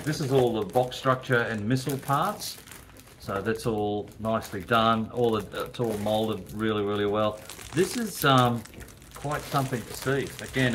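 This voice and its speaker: A man's voice: lowish voice, a bit bright, slight background noise. An older man casually showing a model kit from his hobby shop, rambling with fillers and restarts. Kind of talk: casual product unboxing. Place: hobby shop floor, indoors.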